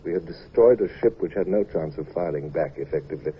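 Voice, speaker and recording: Husky-voiced British naval commodore. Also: male voice, sits low. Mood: somber, reflective. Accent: British English. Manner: formal, measured, commanding. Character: British naval commodore, reflective, stern, authoritative. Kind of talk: old-time radio drama acting and first-person narration. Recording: muffled old radio recording.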